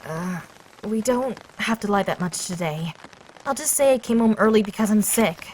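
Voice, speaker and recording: A woman's voice: mid-range voice, lively intonation, normal speed, darkish tone, a little hiss or hum, close to an everyday voice, rough recording. A woman voicing a brash, grumbling teenage schoolgirl with a tomboyish, sarcastic manner in an anime fan dub. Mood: exasperated. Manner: blunt, sarcastic, complaining. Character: brash, grumbling boy in a teenage girl's body.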